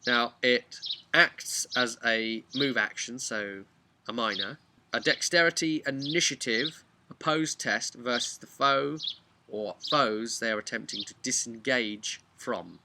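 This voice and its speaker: A man's voice: mid-range voice, unhurried, pushed and bright. A man hosting a tabletop gaming series, talking casually and emphatically to viewers as he explains things. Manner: conversational, emphatic, acts out imagined players' remarks. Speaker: host of a tabletop role-playing video series. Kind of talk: casual explanatory vlog talk addressed to viewers.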